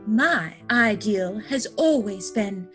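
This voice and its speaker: A woman's voice: medium pitch, animated, slow pace, a bit bright, faint music behind. A woman playing a prim, self-assured young society lady in a stage comedy reading, affected, coy and precise. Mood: romantic, assured.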